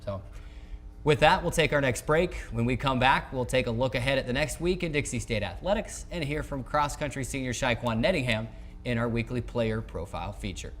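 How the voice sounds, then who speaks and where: A man's voice: mid-range voice, brisk, fairly bright tone, faint music behind. A man hosting a sports show, interviewing in a conversational broadcast style.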